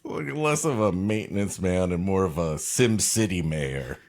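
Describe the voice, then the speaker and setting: A low-voiced man, slow and wry. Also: lively intonation, a little mellow. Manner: wry, riffing. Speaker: comedy podcast co-host. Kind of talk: casual comic commentary and banter.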